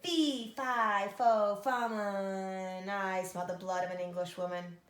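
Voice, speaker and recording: Woman acting monstrous male giant, storybook, menacing, loud, emphatic chant, roomy echo. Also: medium pitch, fairly even intonation, slow pace. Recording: echoey room.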